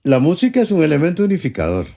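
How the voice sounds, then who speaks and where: A man narrating a documentary script with an expressive delivery. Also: fairly high pitch, rapid-fire, vocal fry, soft background music.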